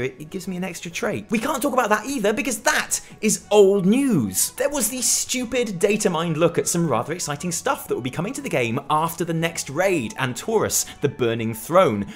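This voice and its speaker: A British man hosting a gaming news show with scripted comic commentary in a theatrical, sarcastic manner. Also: a little high. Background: background music.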